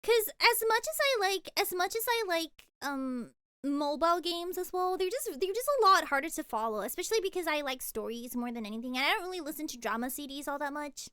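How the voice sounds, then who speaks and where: A woman's voice: high voice, expressive, fairly quick, sharp tone, no echo, clean studio sound. A young woman streaming casually, gushing and giggling as she reacts and answers chat. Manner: bubbly, gushing, frequent giggles and repeated words. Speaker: VTuber streamer.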